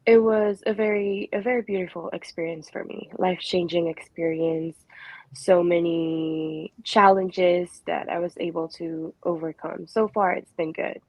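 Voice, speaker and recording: A woman's voice: fairly low pitch, steady tone, measured pace, gravelly, a little mellow, no echo. A woman from the Philippines working as a registered nurse, answering briefly and hesitantly on a panel. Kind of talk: panel discussion. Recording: dull lo-fi audio.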